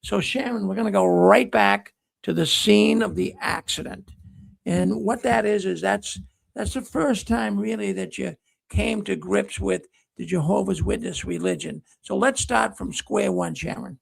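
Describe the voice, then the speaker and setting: A man's voice: sits high, measured pace, gravelly, husky. A man hosting a talk program, rambling and often repeating words as he restarts sentences. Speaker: host. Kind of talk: casual talk show hosting.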